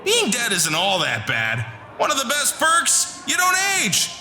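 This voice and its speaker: A man's voice: extremely high pitch, wildly swooping pitch, a little slow, pushed and bright, echoey room, a little hiss or hum, exaggerated voice. A man playing a wry, self-deprecating ghost doing a stand-up routine in an audio drama, projecting as if to a crowd. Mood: wry, boisterous. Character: dead Scottish stand-up comic ghost, paunchy, wry.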